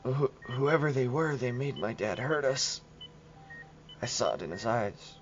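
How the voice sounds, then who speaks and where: A man playing a traumatized young boy who speaks haltingly in an audio horror drama. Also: mid-range voice, expressive, lo-fi sound, slight background noise, voice pushed up, close to an everyday voice. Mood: shaken, frightened. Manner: halting, stammering. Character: traumatized, weak young boy, frightened and bewildered.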